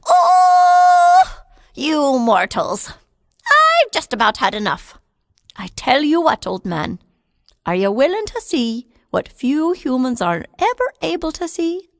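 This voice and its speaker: A woman's voice: sits high, very animated, normal speed, a bit bright, dry recording, studio quality, exaggerated voice. A woman voicing an indignant, stubborn tiny male fairy, scolding.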